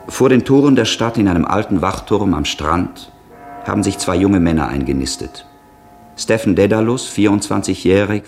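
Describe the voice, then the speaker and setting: A man narrating a 1960s German radio drama introduction in a formal, solemn, measured, declamatory way. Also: sits low, a little mellow. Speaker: announcer and narrator.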